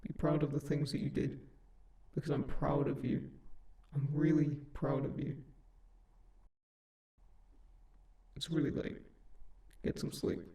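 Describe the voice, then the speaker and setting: Male actor as a comforting bedside demon, creaky low-key voice, flat intonation, soothing, unhurried, intimate audio roleplay. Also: lightly acted. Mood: tender, soothing. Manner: gentle, soothing, reassuring, unhurried. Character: kind, comforting male sleep paralysis demon. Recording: roomy, echoing, slightly distant voice.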